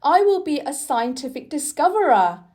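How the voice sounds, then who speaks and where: Woman voicing an imperious, high-pitched young boy. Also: very animated, normal speed, darkish tone. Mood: proud, resolute. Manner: emphatic, declaring. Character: imperious, earnest young boy determined to get well.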